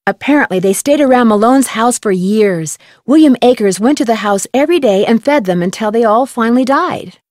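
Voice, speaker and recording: Give this game's young female sleuth , played by an actress, a slightly high, articulate voice. She is sharp and curious, explaining clues in a matter-of-fact way, recorded very dry. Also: expressive, brisk, few pauses, no echo, close to an everyday voice. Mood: explanatory. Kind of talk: scripted game voice acting.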